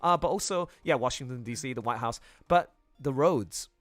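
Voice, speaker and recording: A man's voice: medium pitch, moderate pace, no echo, studio quality, faint music behind. A man hosting a casual group chat on a livestream, often jumping in and repeating his phrases. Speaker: livestream host.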